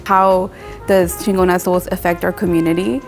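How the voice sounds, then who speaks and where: Young tattoo artist woman from mexico, lowish gravelly voice, chatty and rambly, some music under it. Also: normal speed. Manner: relaxed, rambling, frequent "like" fillers. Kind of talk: casual interview monologue.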